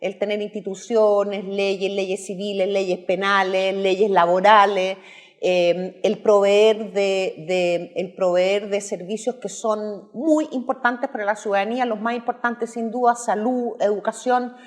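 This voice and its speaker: A woman in her fifties from Chile with a Chilean accent, explaining her views as a guest in a measured way. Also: mid-range voice, steady tone. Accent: Chilean Spanish. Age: 50s. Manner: measured, explanatory, tagging points with "¿verdad?". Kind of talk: political discussion.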